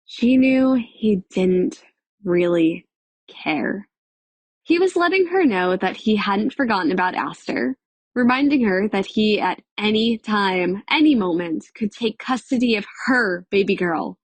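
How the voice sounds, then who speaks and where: A woman narrating a dramatic audiobook in a measured, expressive storytelling manner. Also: mid-range voice, no room sound. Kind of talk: scripted dramatic fiction narration.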